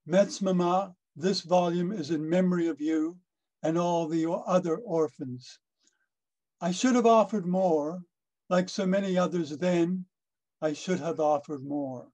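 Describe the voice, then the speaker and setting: Guy reading his poem on a video call, kinda high voice, slow and thoughtful. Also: a little mellow. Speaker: poet.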